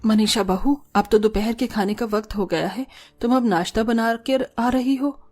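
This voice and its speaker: A woman playing a patient but exasperated middle-aged mother-in-law, gently reproachful, in a cartoon story. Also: fairly high pitch, moderate pace, natural voice.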